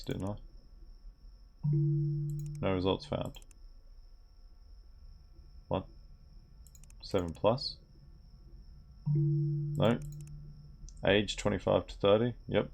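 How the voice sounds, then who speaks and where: A young man with a gravelly voice, commenting on a game as he plays and mutters through on-screen text. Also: low voice. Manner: mutters aloud while reading on-screen text. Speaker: gaming video creator. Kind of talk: game commentary while playing. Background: keyboard typing and clicks.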